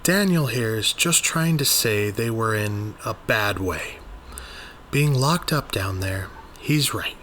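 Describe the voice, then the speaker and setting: A persuasive, brash woman assistant played by a man talking her way out of trouble in an audio drama. The voice is bright and lifted a little, placating in manner, over faint noise. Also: on the low side, animated, takes it slowly, exaggerated voice. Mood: smoothing over. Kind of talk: dramatized audio journal, character dialogue.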